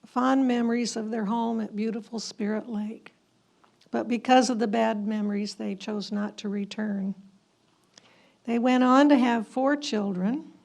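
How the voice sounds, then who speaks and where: A woman's voice: on the high side, vocal fry, darkish tone. A woman reading scripted historical narration aloud as a narrator.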